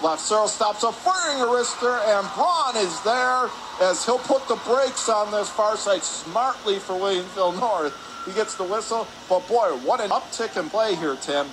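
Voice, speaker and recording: A high-voiced man does live radio play-by-play of a hockey game. His delivery is excitable and climbs whenever there is a shot or save. Clear arena noise runs behind him. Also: normal speed, few pauses. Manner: excitable, voice rising on shots and saves. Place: indoor ice hockey arena. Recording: noisy rink ambience behind the voice.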